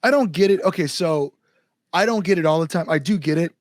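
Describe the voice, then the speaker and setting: Male, American, slightly high voice, casual band-singer podcast guest, animated storyteller, very dry sound. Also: a little fast, dry recording. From Chicago area, United States. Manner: animated storytelling, quotes people, frequent "like", informal swearing.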